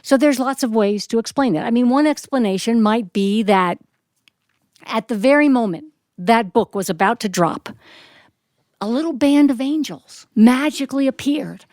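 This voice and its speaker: A woman's voice: on the high side, moderate pace, darkish tone, no echo. American woman storyteller, dry close sound. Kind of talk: live storytelling performance. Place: performance studio with audience.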